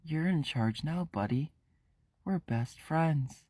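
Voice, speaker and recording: A man voicing a creepy, clingy boy who speaks in a soft, sugary, unsettlingly calm way. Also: medium pitch, takes it slowly, slightly rough, voice pushed up.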